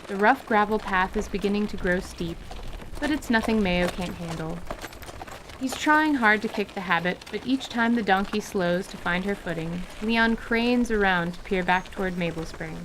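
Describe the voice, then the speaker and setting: A woman's voice: medium pitch, moderate pace. Woman narrating, with noise behind her. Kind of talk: scripted narration of a fantasy audio drama.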